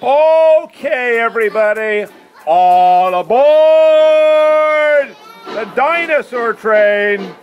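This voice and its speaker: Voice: mid-range voice, animated, drawn-out words, muffled recording. A puppeteer voicing a cheerful train conductor in a children's puppet show, talking to the kids in an explanatory, sing-song way. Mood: announcing.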